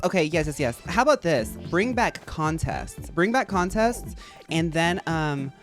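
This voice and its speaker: A man with a high, feminine-sounding voice, chatting casually and rambling to his live-stream audience while he plays. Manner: rambling, chatty, addresses chat as y'all. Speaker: game streamer.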